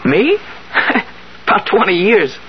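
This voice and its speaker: An eager young bellhop in a radio play. The voice is high and boyish, polite and hesitant, on a muffled recording with faint hiss. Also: male voice, sing-song, slow, full character voice. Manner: deferential, hesitant. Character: eager, polite nineteen-year-old hotel bellhop. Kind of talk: 1970s radio drama acting.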